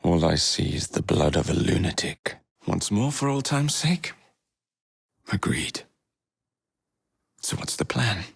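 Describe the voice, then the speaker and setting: A man's voice: low voice, very animated, slow pace, vocal fry, pauses often, husky, no echo, studio quality, heavily acted. A man playing a cocky young Victorian London gang leader in a video game, with an English accent and a cheeky, wry manner. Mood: dry.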